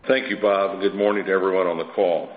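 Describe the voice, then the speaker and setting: A man speaking as a chief executive, giving a formal, measured scripted earnings presentation over a conference phone line. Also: low voice, little pitch movement, reverberant. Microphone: conference call phone line.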